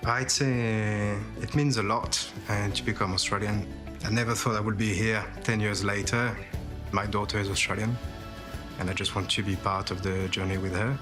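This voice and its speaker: A young man from France with a French accent, speaking in a relaxed, personal way. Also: on the low side, normal speed, over music.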